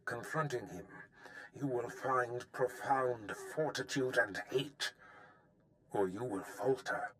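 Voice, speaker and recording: Imperious Sith Lord: mid-pitched, theatrical, condescending, cruel game villain. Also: male voice, slow pace, fairly bright tone. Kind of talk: video game voice acting.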